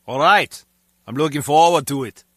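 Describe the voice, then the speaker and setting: A man's voice: on the high side, moderate pace, a bit bright, dry recording, clean studio sound. An actor playing an earnest, comically overdramatic henchman in a video game. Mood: easygoing. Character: earnest, comically overdramatic male henchman, long-suffering music lover. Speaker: crewman.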